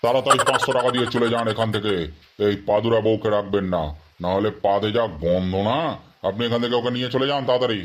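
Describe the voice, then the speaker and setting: A man's voice: medium pitch, fast, natural voice. A man playing a pompous, bossy, money-grubbing quack doctor in a comedy cartoon, mixing English words into his talk. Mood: irritated. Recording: roomy, dull lo-fi sound.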